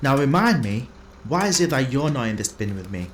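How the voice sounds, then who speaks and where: A man's voice: medium pitch, very animated, brisk, slight background noise, lightly acted. A man playing a polite, earnest younger police detective in an audio drama, with a casual, questioning manner. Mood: casual, dry.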